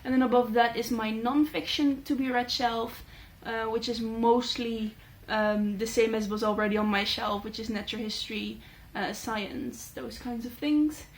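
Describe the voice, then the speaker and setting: Chatty Dutch woman with a higher voice. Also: steady tone, normal speed, few pauses, a little hiss or hum. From Netherlands. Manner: chatty, many fillers, thoughtful. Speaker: book channel host. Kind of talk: casual talking-head vlog.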